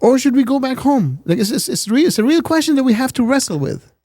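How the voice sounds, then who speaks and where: A man's voice: very high-pitched, sing-song, fast talker, warm tone, no echo. A man co-hosting a talk show, arguing his opinions with emphasis and often repeating words as he builds a point. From America. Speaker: podcast co-host giving opinions. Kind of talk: casual debate chat.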